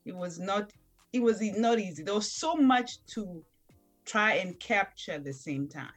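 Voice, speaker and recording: A middle-aged woman speaking English as a later language, emphatic and determined as an interview guest. Her pitch sits in a middle range, and soft music plays faintly behind her. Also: expressive, normal speed. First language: not English. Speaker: guest, night-shift nurse and author. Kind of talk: interview conversation.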